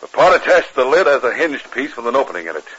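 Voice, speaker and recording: Bossy, sharp-voiced western outlaw boss. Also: male voice, medium pitch, big pitch swings, quick pace, breathy edge. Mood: instructing. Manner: commanding, impatient. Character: bossy, scheming male outlaw gang leader in a Western. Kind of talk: 1950s radio western acting. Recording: noisy, muffled 1950s radio recording with clicks.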